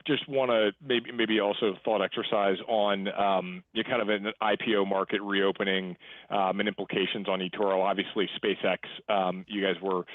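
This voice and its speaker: A man's voice: fairly low pitch, dry recording. A man asking questions as a financial analyst on an earnings call, informal and rambling, over a thin phone line. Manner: tentative, rambling phrasing. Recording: band-limited phone line, dry.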